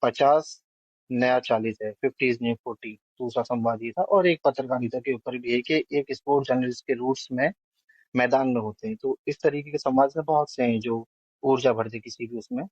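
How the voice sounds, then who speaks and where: A man speaks as a film review panelist, working through his assessment point by point. His voice sits at a middle pitch, and the recording is dry and slightly dull, with little room sound. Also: normal speed, no room sound.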